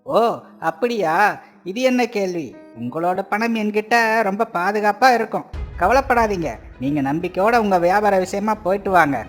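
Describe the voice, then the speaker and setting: A man's voice: sits high, normal speed, soft background music, lightly acted. A man voicing a deceitful, self-righteous village landlord in a cartoon moral story, with blustering delivery. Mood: oily, flattering. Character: greedy, deceitful village landlord, falsely honest and bullying.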